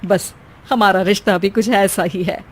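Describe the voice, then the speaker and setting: A woman's voice: medium pitch, fairly even intonation, a little slow, voice pushed down, lightly acted. A woman voicing a bossy middle-aged mother-in-law in a family cartoon, scolding and sarcastic with exclamations.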